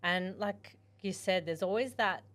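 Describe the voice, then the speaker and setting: A woman's voice: medium pitch, measured pace, fairly bright tone. A woman co-hosting a podcast, bubbly and casual, laughing often and acting out her own reactions. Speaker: podcast co-host. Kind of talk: casual interview chat.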